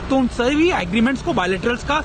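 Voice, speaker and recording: A man's voice: extremely high pitch, expressive, fast talker. A man with an Indian accent giving live news analysis as a reporter, insistently, in long run-on sentences. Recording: outdoor traffic noise behind voice.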